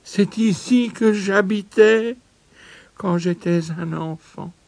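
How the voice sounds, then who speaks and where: High, wailing old miser. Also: male voice, slow, darkish tone, no room sound. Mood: amazed. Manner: high, wailing, pleading delivery. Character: frightened, regretful old male miser. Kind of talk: storybook dramatization.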